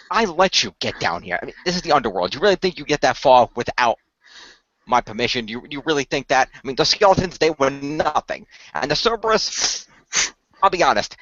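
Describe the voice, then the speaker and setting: A man's voice: medium pitch, a bit bright, dry recording, lo-fi sound. A man playing a smug, sarcastic god of the underworld in a radio play, chatting like a mock-reassuring showman. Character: fast-talking smug male god of the underworld. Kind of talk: hobby radio play acting.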